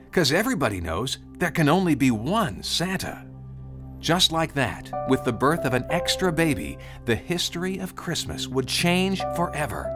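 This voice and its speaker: A man narrating a scripted storybook tale in a dramatic storytelling style. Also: fairly high pitch. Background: music under the narration.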